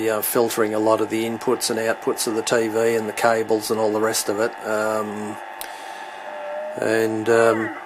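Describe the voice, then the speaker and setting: A middle-aged man with a fairly low, very even voice explains equipment off the cuff. His delivery is halting and hesitant, often searching for the next word. It is a home recording with clear background noise. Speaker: CB radio hobbyist demonstrating his equipment. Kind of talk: unscripted hobby demonstration. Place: indoors at home beside radio equipment. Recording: clearly noisy home recording with radio static.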